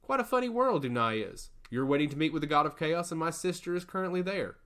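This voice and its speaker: Man playing a flirtatious prince with lively pitch. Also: quick pace.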